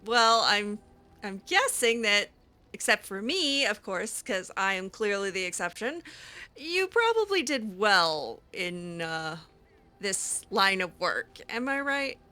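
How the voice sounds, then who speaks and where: Woman game roleplayer, sarcastic, hesitant, laughing asides, crisp bright tone. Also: mid-range voice, very animated, slow pace. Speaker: roleplay game player and channel host. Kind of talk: roleplaying dialogue with game characters.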